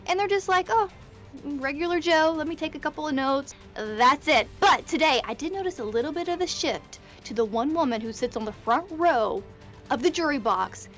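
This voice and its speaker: A woman in her thirties giving quick, chatty court commentary over a video call. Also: high-pitched, animated, a bit bright, faint music behind. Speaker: court correspondent. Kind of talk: live news commentary.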